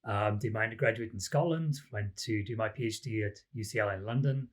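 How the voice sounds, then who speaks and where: A man's voice: lowish voice, brisk. Welsh man, chatty podcast guest. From Wales. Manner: chatty and self-deprecating, frequent ums. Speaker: academic guest, lie detection researcher. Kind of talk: relaxed interview answers.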